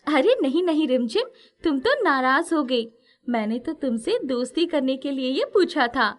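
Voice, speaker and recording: A woman's voice: high voice, lively intonation, normal speed, voice pushed up. A woman voicing a curious, friendly young fairy disguised as a girl in a storybook tale, eagerly asking questions.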